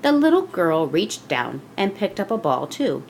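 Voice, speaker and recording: A woman reading a children's picture book aloud as scripted narration, with expressive storytelling delivery. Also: fairly low pitch, a little slow, creaky, slight background noise.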